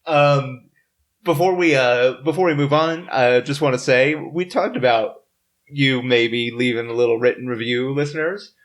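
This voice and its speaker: Man, podcast host, medium pitch, tipsy, rambling, self-mocking, casual joking chat. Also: normal speed. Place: in-person table recording.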